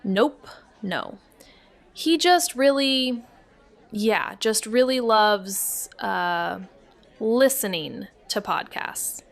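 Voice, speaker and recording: An actress playing an awkward, evasive young niece in a naturalistic audio drama, halting and flustered as she talks. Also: medium pitch, animated, vocal fry, full character voice. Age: young adult.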